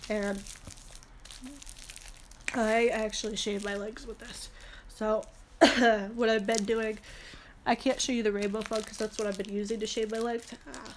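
A woman's voice: on the high side, flat delivery, unhurried, long pauses, slight background noise, rough recording. A young woman with a head cold and a stuffy nose, chatting casually and rambling through a product haul in a small room on a close phone camera. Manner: chatty, rambling, with many fillers. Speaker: beauty vlogger. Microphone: phone camera held close.

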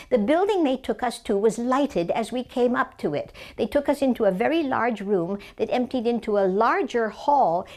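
A woman's voice: a little high, a little mellow, voice pushed up. A woman performing the dramatic, earnest first-person memories of a frail, shaken suffragist in her seventies.

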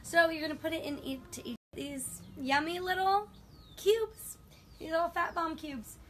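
High-voiced enthusiastic young woman. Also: normal speed, a little hiss or hum. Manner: enthusiastic, frequent affirmations. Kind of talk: casual chat. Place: outdoor deck.

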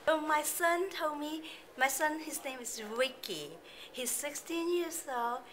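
A woman in her forties, a community college student, speaking earnestly in halting English in an interview. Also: sits high, animated, a bit bright. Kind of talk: casual interview. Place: college lounge indoors.

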